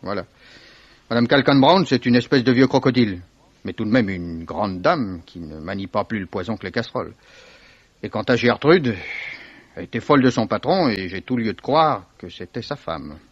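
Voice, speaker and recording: An actor playing a worldly, evasive Swiss salesman in a 1950s French radio mystery, sardonic, unhurried and given to repeating himself. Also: on the low side, animated, no echo. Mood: dismissive, amused. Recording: dull, dry vintage radio recording.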